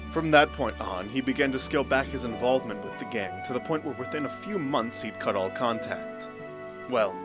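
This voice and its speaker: A man narrating a fantasy audio drama in a scripted storybook style. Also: mid-range voice.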